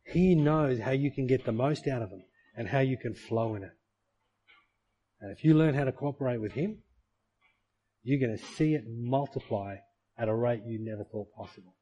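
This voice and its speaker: A man's voice: mid-range voice, moderate pace, long pauses, mellow, slightly rough, highs cut off. A middle-aged male pastor preaching a sermon to his church, earnest and full of rhetorical questions.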